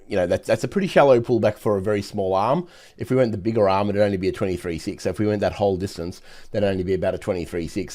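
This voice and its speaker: A hoarse man who has nearly lost his voice, giving brisk trading chart commentary with many fillers. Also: on the low side, warm tone. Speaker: market analyst host.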